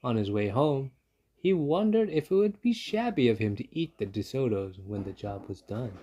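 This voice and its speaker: A man's voice: mid-range voice, sing-song, normal speed, dark tone. A man narrating a children's story from a book, as a read-aloud. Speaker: storybook reader.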